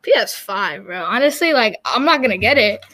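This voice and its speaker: A woman chatting casually and playfully in a relaxed, slangy way. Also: a little high, normal speed, a little hiss or hum. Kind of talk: casual chat.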